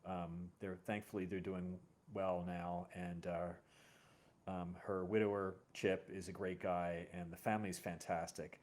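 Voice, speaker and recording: A middle-aged man hosting a casual, personal conversation, telling stories in a halting, reflective way. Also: deep voice, level tone, darkish tone. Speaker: host.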